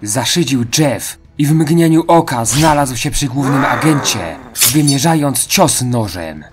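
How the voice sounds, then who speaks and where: A man's voice: medium pitch, lively intonation, a little slow, over music. A man narrating a scripted horror story as an audio drama.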